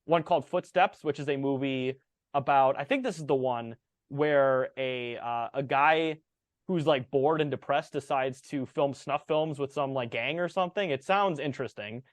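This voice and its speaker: A man in his thirties co-hosting a casual movie review podcast, relaxed and full of fillers as he reads out film facts. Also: mid-range voice, normal speed, no echo. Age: 30s. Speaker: podcast co-host.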